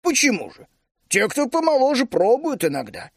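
A man voicing a calm, homely older male gnome who chuckles and soothes. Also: very high-pitched, normal speed, breathy edge, no echo, studio quality, voice pushed up, exaggerated voice. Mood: easy. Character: easygoing bald male gnome, older husband.

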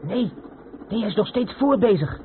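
A noisy, tinny old radio drama. an eager young accomplice with a highish, very lively voice, nagging his companion along. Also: unhurried. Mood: conspiratorial. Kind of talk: Dutch radio play acting.

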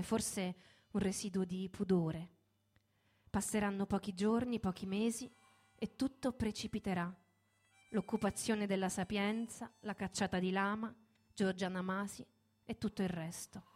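A woman's voice: mid-range voice, a little mellow. A woman reading a dramatic scripted narrative in a radio theatre performance. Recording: music playing behind the voice. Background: music.

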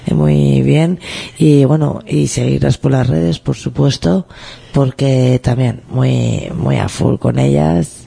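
A man's voice: mid-range voice, slow pace, gravelly, mellow, breathy edge. A middle-aged man co-hosting a community radio show, chatting in a reflective, rambling and self-mocking way. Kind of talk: casual radio chat. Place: radio studio.

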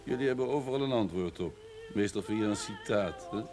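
Deep-voiced sardonic sceptic played by a man. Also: brisk, over music, natural voice. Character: sardonic, worldly sceptic needling the clergy. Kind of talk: television period drama acting.

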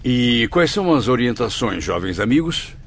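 A man's voice: mid-range voice, very animated, moderate pace. A man acting as a solemn spirit who narrates a radio drama in the first person. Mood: courteous. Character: solemn male spirit patient recounting his story.

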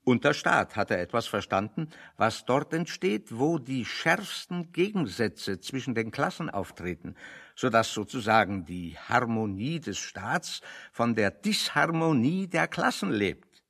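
Learned male refugee, radio drama, creaky medium-pitched voice, pedantic, drily ironic, philosophical expounding. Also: very animated, a little slow, fairly bright tone, full character voice. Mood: dryly ironic, expounding. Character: wry, bookish intellectual refugee, man, holding forth on philosophy. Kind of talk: radio play dialogue, discursive monologue.

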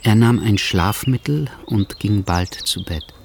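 An actor playing a sombre male writer who narrates history in measured literary style for a radio drama. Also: lowish voice, animated, slight background noise. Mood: sober, ominous.

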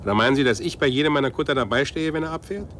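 An actor playing a condescending, smooth middle-aged business magnate with veiled menace, in a 1950s German radio play. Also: male voice, medium pitch, very fast, fairly bright tone, lightly acted. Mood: jovial, patronising. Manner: patronising, suave, mocking. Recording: noisy vintage 1950s radio recording.

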